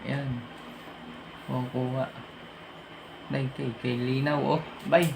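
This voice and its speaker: A Filipino man presents a casual, hands-on tutorial in a mid-pitched, creaky voice. He thinks aloud through each step informally. The amateur recording has clear background noise. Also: little pitch movement, slow. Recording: noisy amateur room recording.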